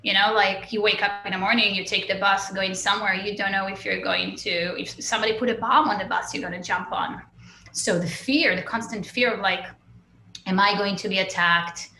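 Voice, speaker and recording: A woman's voice: medium pitch, steady tone, fairly quick, sharp tone, roomy sound, slight background noise, poor audio. A woman from Israel, a guest in an interview, telling her life story in an open, reflective way with many fillers and restarts.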